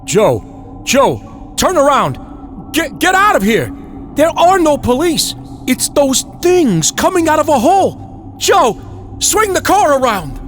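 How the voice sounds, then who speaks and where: A terrified motorist screams at his friend to flee, his voice climbing high and cracking with panic, as a male narrator acts him out. He yells in frantic bursts. Music runs underneath this old-time radio style horror story. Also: huge pitch swings, takes it slowly, full character voice. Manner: frantic shouting.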